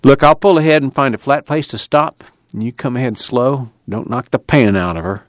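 Man as a steady, plain-spoken country mechanic. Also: mid-range voice, moderate pace, no room sound, voice pushed up, natural voice. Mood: brisk, instructing. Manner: plain, folksy, instructing, clipped phrases.

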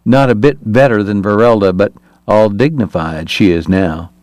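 A man's voice: on the low side, normal speed, a little mellow, no echo, close to an everyday voice. A man voicing a sardonic, teasing man-about-town who gossips about the people in the room.

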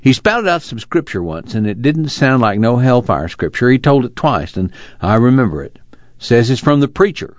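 A man's voice: mid-range voice, sing-song, moderate pace, dry recording, lo-fi sound, lightly acted. A man playing an earnest young fugitive farm son in a dramatized novel reading, reflective and plainspoken, with a drawl.

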